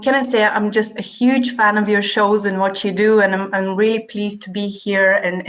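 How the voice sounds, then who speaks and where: A woman's voice: medium pitch, poor audio. Woman with a Bulgarian accent, guest. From Bulgaria, Russia and Cyprus. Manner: measured, detailed storytelling with some hesitations. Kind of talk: conversational interview.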